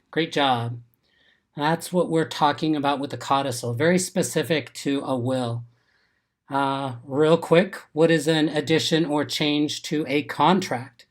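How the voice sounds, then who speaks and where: Congested upbeat male webinar host. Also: on the high side. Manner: upbeat, encouraging, quizzes viewers from chat. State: congested, getting over an illness. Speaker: exam prep webinar host. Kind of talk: live webinar teaching, reading chat.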